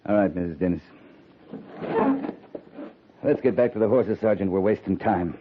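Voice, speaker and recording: An actor in a 1950s radio drama playing a gruff, plain-spoken but decent army captain. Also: male voice, low voice, moderate pace, natural voice. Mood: firm. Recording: tinny, faintly noisy 1950s radio recording.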